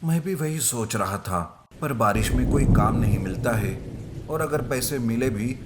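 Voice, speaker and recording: A man's voice: medium pitch, lively intonation, unhurried, very noisy, natural voice. A man playing a poor, worried labourer father with a resigned, plain-spoken manner in a moral-story cartoon.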